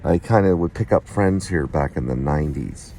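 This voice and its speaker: A man's voice: very deep voice, moderate pace, mellow. A middle-aged man talking casually in a vlog, wandering and reminiscing as he goes, with noise behind him. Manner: wandering, reminiscing, spells things out. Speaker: vlogger and amateur playwright. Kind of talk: casual rambling vlog talk.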